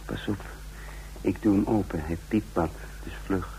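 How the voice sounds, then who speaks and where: A man's voice: deep voice, steady tone, unhurried, warm tone, low-quality recording. A man playing the careful, commanding chief of a break-in team in a radio drama, terse and controlled. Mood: commanding, hushed. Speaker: narrator and lead character. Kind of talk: radio drama acting and narration. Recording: radio drama with faint background noise.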